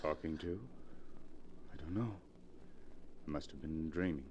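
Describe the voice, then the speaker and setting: An actor playing a haunted, obsessed husband in love with a ghost, intense and melodramatic in 1940s radio style. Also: male voice, very deep voice, a little slow, a little mellow, highs cut off, slight background noise. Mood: dazed, dreamy.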